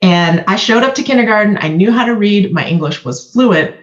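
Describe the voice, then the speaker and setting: A woman's voice: medium pitch, lively intonation, brisk. Woman on a dull, echoey line. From Vietnam. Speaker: guest. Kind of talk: candid conversational discussion.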